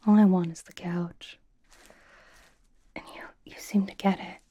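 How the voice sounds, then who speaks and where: A woman voicing a blunt but cozy young female pop star in a breathy, casual, halting ASMR whisper, doting on the listener. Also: lowish voice, level tone, warm tone, slight background noise, lightly acted. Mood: candid, relieved. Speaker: ASMR roleplay performer. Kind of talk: whispered ASMR personal-attention roleplay.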